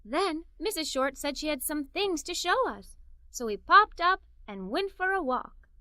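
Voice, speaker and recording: A woman reading a children's story in an expressive, scripted narrating style. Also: high voice, measured pace, no echo. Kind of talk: scripted read-aloud story narration for kids.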